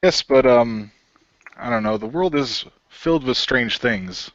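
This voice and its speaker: A man's voice: mid-range voice, lively intonation, measured pace, a bit bright, dry recording, muffled recording. A man playing a puzzled, easygoing middle-aged man in an amateur radio play, speaking casually and hesitantly. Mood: puzzled, awkward.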